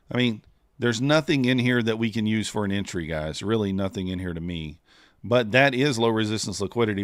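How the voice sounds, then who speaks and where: A man's voice: fairly low pitch, dry recording, studio quality. A man streaming live, chatting casually and thinking aloud with many fillers as he trades. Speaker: streamer trading futures live.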